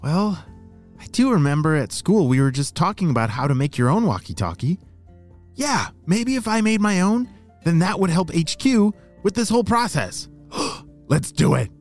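A man plays a plucky young girl spy in a children's story, with a lifted, swooping voice. She is excitable and resourceful, talking things through to herself over background music. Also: high-pitched, moderate pace, voice pushed up. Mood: determined. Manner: excitable, thinking aloud to self.

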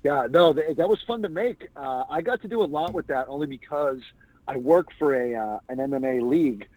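A man's voice: fairly high pitch, a little fast, no room sound, phone-quality sound. A man, a stand-up comedian, telling stories as a guest in a casual interview. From New England. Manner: chatty anecdotal storyteller.

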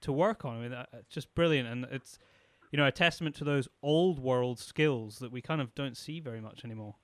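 A man's voice: mid-range voice, brisk, fairly bright tone, no echo. A man hosting a live stream, chatting casually and eagerly questioning his guest. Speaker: podcast host. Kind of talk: casual interview chat.

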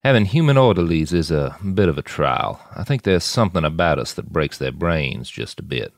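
A commanding male mercenary boss, acted out by a man reading an audiobook. He has a very deep voice and a folksy, self-assured way of holding forth. His pitch moves freely, and the sound is dry and close. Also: sing-song, normal speed, no room sound, heavily acted. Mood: musing, superior.

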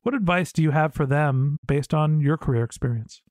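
Podcast host guy, voice a bit on the high side, super dry recording, doing interview questions. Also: normal speed, a little mellow, no room sound.

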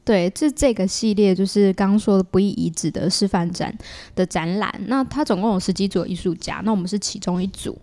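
A woman with a Taiwanese Mandarin accent speaks at a medium pitch. Her tone is very mellow and relaxed as she explains things conversationally as a guest. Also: fairly quick. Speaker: guest artist. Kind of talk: casual explanatory chat.

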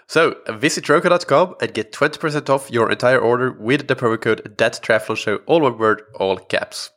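A man's voice: mid-range voice, a bit bright. A young man hosting a podcast, congested from a recent illness, chatting casually with many fillers and restarts. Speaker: podcast host. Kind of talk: casual solo podcast talk.

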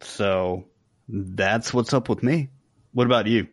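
A man's voice: on the low side, takes it slowly, breathy edge, no room sound. A man co-hosting a podcast in casual conversation. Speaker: podcast co-host. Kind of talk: casual chat.

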